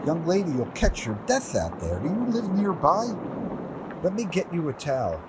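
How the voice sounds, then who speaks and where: An actor playing a lonely, grieving widower who muses wearily to himself in an audio drama. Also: male voice, on the high side, sing-song, normal speed, very noisy. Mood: concerned. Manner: talks to himself, reflective.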